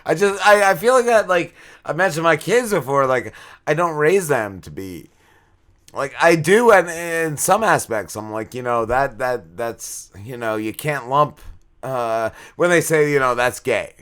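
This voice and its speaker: A man hosting a comedy show, chatting casually and informally, often halting and repeating his words. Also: fairly high pitch, expressive, a bit bright. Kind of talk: casual interview chat.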